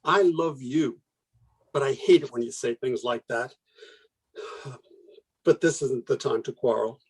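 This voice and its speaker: Husky, anguished man pleading in earnest acted. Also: mid-range voice, unhurried. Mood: reproachful, tender. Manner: pleading, intense, argumentative. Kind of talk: radio play acting.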